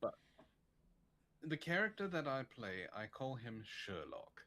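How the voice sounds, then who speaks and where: A man of middle pitch who jokes in a dry, teasing way and slips into mock-sinister threats. His intonation is lively and rolls up and down. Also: measured pace. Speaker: stream co-host. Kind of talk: playful banter on a live stream.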